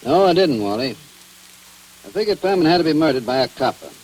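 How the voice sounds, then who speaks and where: An actor playing a shrewd, regretful American police chief, probing and plain-spoken, in 1940s radio drama style. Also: male voice, a little high, big pitch swings, moderate pace, a little mellow. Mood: pointed, accusing. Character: shrewd small-town police chief, calm, regretful, disappointed friend. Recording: noisy, hissy 1940s radio recording.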